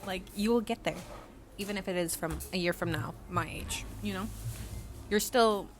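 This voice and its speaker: A woman's voice: medium pitch, moderate pace. A woman of about twenty whose first language is Swedish, adding casual, reassuring asides to her viewers. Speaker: vlogger. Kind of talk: added voice-over aside to viewers. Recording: noisy background hiss.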